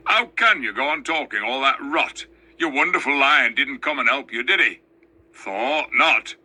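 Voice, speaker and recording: A man's voice: medium pitch, expressive, a little slow, sharp tone, slightly rough, exaggerated voice. A man voicing a surly, suspicious, quarrelsome dwarf in a storybook reading, with scornful, indignant, colloquial speech. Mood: scornful, incredulous.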